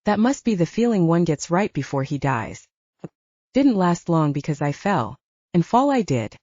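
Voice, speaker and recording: A woman reads dramatic fiction in a low, mellow voice. Her pitch moves in lively swings as she tells the story in the first person. The recording is dry and a little dull. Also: normal speed, dry recording. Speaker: audiobook narrator.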